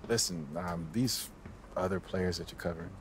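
A young American male basketball player in a video game cutscene. His voice sits fairly low, and his delivery is casual, guarded and understated. Faint background noise runs underneath. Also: moderate pace. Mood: guarded, questioning. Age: 20s.

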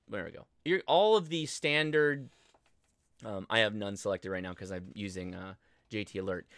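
An American man in his thirties talking through a casual livestream tutorial, conversational and halting as he thinks aloud. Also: medium pitch, a bit bright, clear, resonant tone, no room sound, studio quality. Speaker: livestream host and ham radio instructor. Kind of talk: casual live tutorial with chat replies. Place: indoor home studio desk, livestream.

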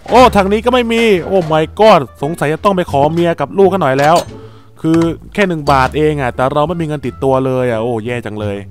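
A man with a slightly high voice narrates his game character's adventure. He speaks in an excitable way, full of exclamations. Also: fairly quick, faint music behind. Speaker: gaming channel host and voice actor.

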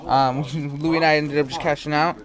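Young guy vlogging through town on a handheld cam, a bit high voice, crowd chatter behind. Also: steady tone, moderate pace. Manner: joking, swears, laughs a lot. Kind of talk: casual vlog. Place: outdoor streets and shops in a small town. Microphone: action camera held at arm's length.

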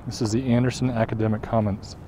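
A man's voice: fairly low pitch, little pitch movement, fast. Chatty man on a windy outdoor walk. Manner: chatty, musing aloud, unsure of building names. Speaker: walking tour guide. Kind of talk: casual narration while walking. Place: outdoors on a snowy city campus. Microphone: camera carried while walking. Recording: outdoor wind and traffic noise. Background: wind and street noise.

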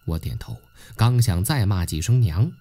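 An actor playing a young male tomb adventurer who narrates an audio drama in an uneasy, suspenseful, expressive way. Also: fairly low pitch, normal speed. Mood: tense. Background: background music.

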